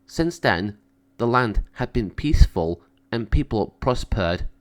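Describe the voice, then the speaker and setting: Guy reading a kids story out loud, mid pitch, lots of up and down. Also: expressive, slow pace. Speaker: storybook reader. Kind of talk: scripted children's story narration.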